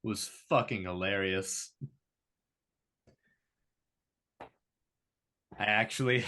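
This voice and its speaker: A man's voice: lowish voice, sharp tone. A man reading the part of an awkward, privileged young heir in a drama table read, talking in a casual, rambling way. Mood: amused, casual.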